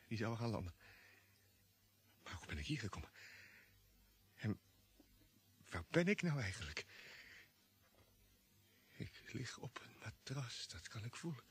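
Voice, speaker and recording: An actor playing Jimmy, an excitable, easily panicked space crewman who stammers and repeats words in a Dutch radio drama. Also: lowish voice, unhurried, long pauses. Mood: bewildered, self-calming.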